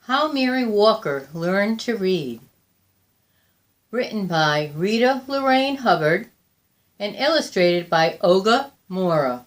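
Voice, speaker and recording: A woman reading a children's picture book aloud with deliberate, expressive phrasing. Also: medium pitch. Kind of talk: read-aloud children's storytelling.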